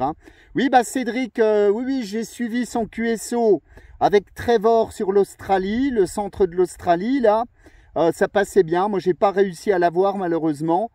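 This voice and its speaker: Male radio hobbyist, mellow and high-pitched, easygoing conversational delivery with frequent tags. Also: no echo. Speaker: portable CB radio operator. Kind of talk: casual radio contact chat.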